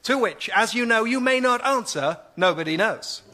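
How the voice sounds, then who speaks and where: Showy, high-voiced male quiz show host. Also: expressive, moderate pace, bright, forceful tone, full character voice. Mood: pleading, insistent. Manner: showy presenter delivery, emphatic. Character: excitable quiz show host, desperate underneath. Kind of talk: radio comedy parody of game show hosting.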